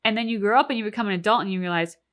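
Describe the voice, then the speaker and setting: Female co-host, bright forceful voice, conversational and reflective, talkative and earnest. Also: medium pitch, rapid-fire. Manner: earnest, talkative, peppers speech with like and right; swears casually. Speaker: co-host of a reaction channel. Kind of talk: casual reflective discussion.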